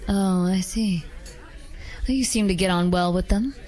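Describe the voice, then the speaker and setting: A woman voicing a polite, gentle female werewolf dancer who is secretly an assassin, in a hobby audio drama. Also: medium pitch, measured pace, faint music behind, lightly acted. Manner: polite, gentle, softly coaxing.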